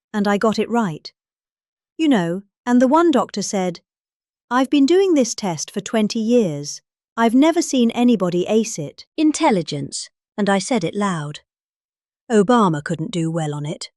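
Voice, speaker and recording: A woman's voice: medium pitch, darkish tone, dry recording, clean studio sound. A middle-aged British woman giving an emphatic, theatrical reading of a rambling speech in a conversational way. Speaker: reader.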